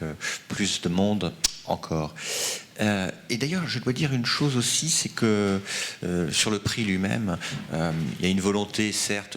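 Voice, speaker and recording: Brief: man, very low pitch, crisp forceful tone, chatty moderator with fillers, faint noise behind. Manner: chatty, uneven pace, frequent fillers. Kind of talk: moderating a panel.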